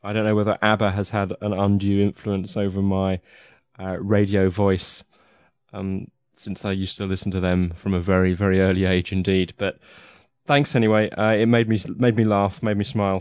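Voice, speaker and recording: A man's voice: lowish voice, flat delivery, moderate pace, darkish tone, dry recording. Chatty man hosting a podcast. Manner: chatty, with frequent fillers and restarts. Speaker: podcast host. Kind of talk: casual podcast narration.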